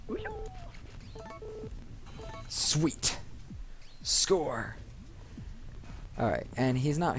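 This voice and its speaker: A man's voice: on the low side, a little mellow, a little hiss or hum. A man hosting a let's play, rambling casually and thinking aloud into a desk microphone. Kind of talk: casual gaming commentary. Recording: music behind the voice. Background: video game music.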